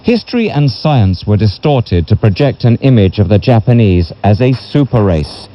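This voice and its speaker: A man reading a history book as a narrator in a measured, solemn way, heard over a shortwave radio broadcast. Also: lowish voice, big pitch swings, dull audio. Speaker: audiobook narrator. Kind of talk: scripted literary history narration.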